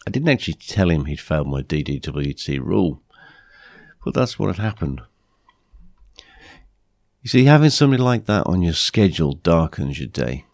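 A British man hosting a podcast with a blunt, plain-spoken manner, his voice croaky from flu. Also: low-pitched, big pitch swings, moderate pace, pauses often, a little mellow, no room sound, studio quality. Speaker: podcast host. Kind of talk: podcast monologue, anecdotes and advice.

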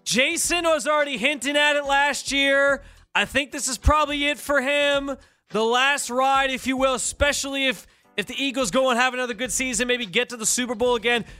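A young man hosting casual sports talk radio, bantering quickly and poking fun at himself. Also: very high voice, sharp tone, clean tone, soft background music. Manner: quick, self-deprecating banter. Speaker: radio host. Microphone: studio microphone.

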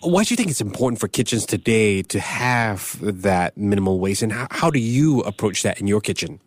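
A man's voice: lowish voice, lively intonation, normal speed, no room sound. A man hosting an interview, asking questions in a conversational way. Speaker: interviewer, host.

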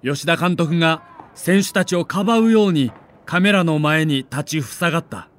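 A man narrating a scripted documentary in a dramatic, measured storytelling manner. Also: on the high side.

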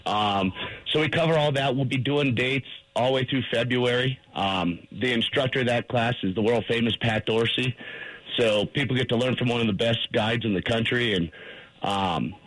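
A man's voice: mid-range voice, moderate pace, a bit bright, poor audio. A man guesting on a radio show, eagerly explaining fishing techniques with many fillers. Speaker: fly shop guest, fishing instructor.

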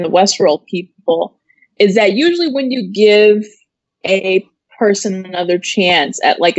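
A woman's voice: mid-range voice, no echo, highs cut off. A woman co-hosting a casual chat show, explaining informally and thinking aloud as she goes. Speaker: co-host of an entertainment news live show.

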